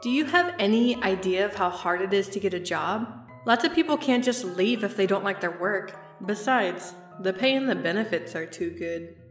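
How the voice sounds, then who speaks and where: Irritable, blunt woman security guard, acted by a voice actress, medium pitch, echoey recording, comic dub. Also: expressive, moderate pace, natural voice. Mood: defensive, blunt. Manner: blunt, dismissive, sardonic. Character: curt, irritable female night security guard. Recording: echoey, faint music behind.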